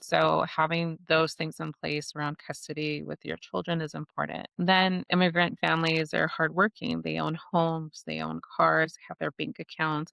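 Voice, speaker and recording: A woman in her forties from Los Angeles talks as an immigration attorney guest on a podcast. She explains things in an earnest, practical way, full of "you know" and "like." Her voice has a rough, vocal-fry texture over a smooth, resonant tone, recorded close and dry. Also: deep voice, fairly bright tone, dry recording. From Los Angeles, United States. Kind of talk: casual podcast interview.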